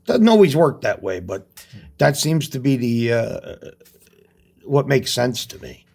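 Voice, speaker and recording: A middle-aged man with a gravelly, rough-edged voice and a breathy, husky tone. He talks in an easygoing, conversational way and shares his view of life with earnest conviction. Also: mid-range voice, big pitch swings, measured pace, a little mellow. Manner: earnest, speaks in life lessons. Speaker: actor guest. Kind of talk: casual podcast chat.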